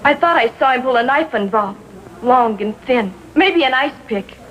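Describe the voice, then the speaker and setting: An American woman playing a distraught, lovestruck sixteen-year-old girl in a 1950s TV drama, speaking in short, halting sentences. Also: high voice, animated, a bit bright. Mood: shaken recounting. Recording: faintly noisy vintage TV soundtrack.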